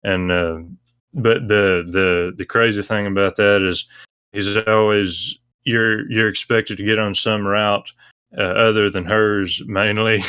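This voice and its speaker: A man talking casually in a discussion, explaining things in a halting way. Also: lowish voice, pushed and bright, clear, resonant tone, dry recording. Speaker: discussion panelist on a visual novel talk stream.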